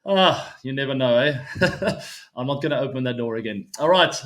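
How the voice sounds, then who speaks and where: Man, forties, Afrikaans first language, casual host chat, lots of ums, easygoing, mid-pitched voice. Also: expressive, normal speed, fairly bright tone. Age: 40s. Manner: chatty, many ums and uhs, laughs easily. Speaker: cricket show host. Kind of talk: casual solo chat to viewers.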